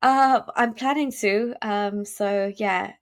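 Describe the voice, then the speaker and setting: A woman speaks as a guest in an interview. She talks emphatically and at speed, and she often restarts her sentences and fills pauses with "um" and "like". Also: medium pitch. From United Kingdom. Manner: emphatic, rapid, many fillers and restarts. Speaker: podcast guest. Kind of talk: conversational interview.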